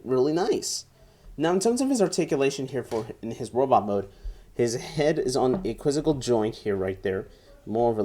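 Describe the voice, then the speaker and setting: A man's voice: medium pitch, a little mellow. A young man giving a casual, enthusiastic toy review to camera at home, often repeating words as he goes. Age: young adult. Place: small furnished room at home, indoors.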